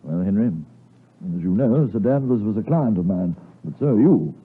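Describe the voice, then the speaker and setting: A worried, blunt British lawyer in a vintage radio play. His husky voice rises and falls widely as he speaks with formal emphasis. It comes through narrow and muffled, as on an old broadcast. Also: fairly low pitch, expressive, moderate pace. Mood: urgent warning. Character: stern, loyal gentleman lawyer, worried and blunt. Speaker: radio drama actor. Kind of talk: 1950s radio drama acting.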